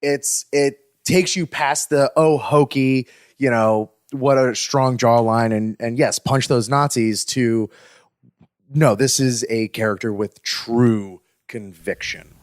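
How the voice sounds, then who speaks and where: A man's voice: mid-range voice, fairly even intonation, takes it slowly, no echo. Earnest man on a dry podcast mic. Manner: earnest, thoughtful, frequent "uh" fillers. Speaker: podcast co-host. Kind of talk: casual chat about films.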